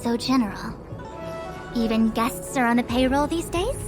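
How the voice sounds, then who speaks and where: An actress playing a proud, stern young diviner in command in a video game, speaking formally and imperiously. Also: female voice, fairly high pitch, animated, normal speed, music in the background, close to an everyday voice. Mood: stern, reluctant. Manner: formal, imperious, crisp wording. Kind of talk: dramatic video game voice acting.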